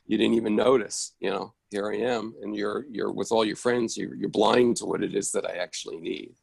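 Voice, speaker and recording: A man's voice: lowish voice, fairly even intonation, brisk, creaky, dry recording. A man giving casual, reflective feedback in a discussion, earnest and searching for his words. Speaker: audience member giving feedback on a play.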